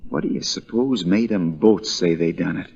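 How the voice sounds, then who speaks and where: A man's voice: low-pitched, moderate pace, creaky, darkish tone, slightly rough, lo-fi sound, exaggerated voice. A man playing a plain-spoken, wry country lawyer with a folksy drawl, in the style of 1940s radio drama. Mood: gently sympathetic. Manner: folksy, drawling, dryly teasing.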